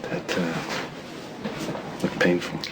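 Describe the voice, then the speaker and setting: A man playing a protective, quietly devoted character in a soap opera. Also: slow, natural voice. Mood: tender. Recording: loud background noise.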